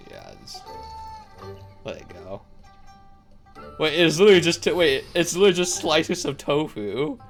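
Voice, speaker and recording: A man's voice: on the high side, very animated, moderate pace, vocal fry, pauses often. Male game streamer, casual, wry, exclaiming, hugely varied intonation, plucked-string game music behind. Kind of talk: casual live gameplay commentary.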